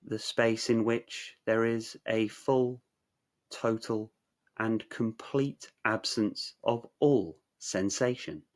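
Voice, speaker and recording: A man narrating a guided meditation in a measured, soothing, instructional way, leaving long pauses. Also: fairly low pitch, fairly even intonation.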